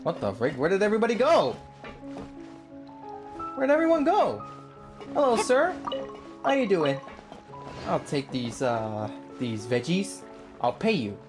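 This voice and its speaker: A woman's voice: fairly low pitch, huge pitch swings, unhurried, long pauses, music in the background. A woman livestreamer giving casual, reactive gameplay commentary, informal and often repeating her phrases. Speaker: game livestreamer.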